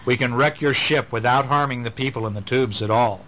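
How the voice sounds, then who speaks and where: A man's voice: medium pitch, a little fast, pushed and bright, slight background noise, lightly acted, low-quality recording. A man voicing a confident young male space hero in a bedtime story read aloud. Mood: firm.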